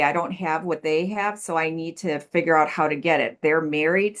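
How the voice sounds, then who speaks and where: A woman in her sixties, a podcast guest answering thoughtfully over a video call, earnest and repeating words as she searches for them. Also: sits low. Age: 60s. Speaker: podcast guest, life coach. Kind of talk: reflective interview answers.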